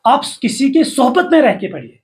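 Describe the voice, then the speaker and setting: An older man with a Kashmiri accent, a poet and writer giving earnest, formal advice in a slow, measured way. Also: extremely high pitch, sing-song. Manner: formal, measured, slow recitation with rising emphasis. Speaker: poet and writer, interview guest. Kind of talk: reciting verse, then earnest advice.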